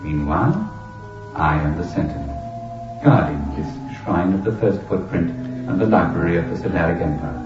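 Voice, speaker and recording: A solemn machine guardian of an ancient shrine, very low and grave. The voice is ceremonial and measured, heard from far off in a big echo, as in science fiction radio drama. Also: male voice, animated. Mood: grave, stately. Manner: grave, measured, ceremonial tour-guide delivery. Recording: echoey, distant, dull radio recording with music.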